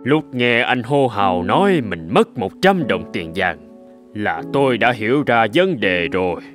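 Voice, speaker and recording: Dignified honest cartoon elder, music behind. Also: male voice, on the low side, very animated, unhurried, a bit bright. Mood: calm, earnest. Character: honest, dignified, forgiving older gentleman, calm and principled. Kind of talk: dubbed cartoon morality-tale dialogue.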